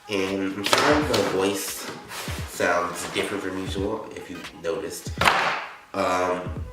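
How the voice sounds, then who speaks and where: A teenage boy vlogger chatting casually through an unboxing while sick with a cold, his throat scratchy, rambling with lots of likes and you-knows. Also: sits low. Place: indoor room. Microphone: camera set up some distance away. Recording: very echoey room, distant mic, music behind.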